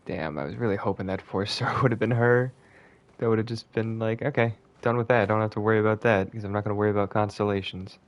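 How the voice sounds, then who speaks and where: A man's voice: lowish voice, fairly even intonation, fast talker. A man who streams video games, thinking aloud in casual live commentary, muttering and swearing. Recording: faint background noise.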